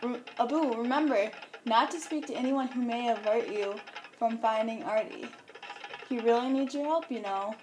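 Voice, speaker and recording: Woman playing calm, high-voiced animal guide. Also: moderate pace, lightly acted. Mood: instructive. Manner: reassuring, instructive. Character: Ellie, calm, wise, helpful female animal guide. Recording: roomy, music behind.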